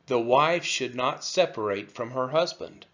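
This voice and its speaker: A man reading scripture aloud from a text, with measured, emphatic phrasing. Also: mid-range voice, animated, fairly bright tone, muffled recording. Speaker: scripture reader.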